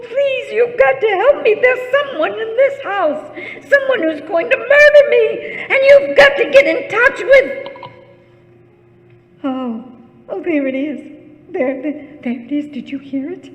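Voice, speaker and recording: A frightened, self-pitying invalid in 1940s radio melodrama. Her voice is extremely high and slow, full of imperious, stammering fretfulness, and it rings with long reverb in an echoing hall. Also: animated, highs cut off, full character voice. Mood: terrified. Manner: stammering, breathless, fretful and demanding.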